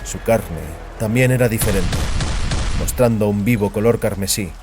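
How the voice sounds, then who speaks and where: Hombre narrador de relatos dramáticos. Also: male voice, on the low side, normal speed, over music. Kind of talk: scripted dramatic story narration.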